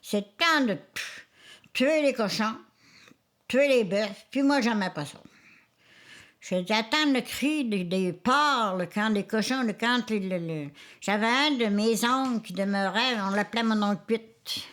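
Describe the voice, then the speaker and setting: A woman's voice: on the high side, fairly bright tone. Ninety-one-year-old Quebec woman reminiscing. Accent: Quebec French, Charlevoix. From Quebec, Isle-aux-Coudres. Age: 90s. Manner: rambling, halting with restarts and fillers. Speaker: interviewee.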